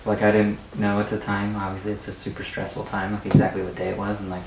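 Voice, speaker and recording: A man's voice: lowish voice, completely flat delivery, quick pace. A man in his twenties chatting casually as an interview guest, joking and slangy. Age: 20s. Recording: echoey, distant mic, chatter behind.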